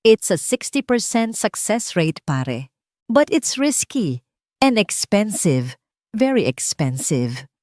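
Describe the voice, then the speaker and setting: A woman's voice: mid-range voice, slow pace, dry recording, clean studio sound, pitched above the performer's own voice. A woman with a Filipino accent voicing an eager, loyal male friend in an audio story. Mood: cautious. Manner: energetic, emphatic.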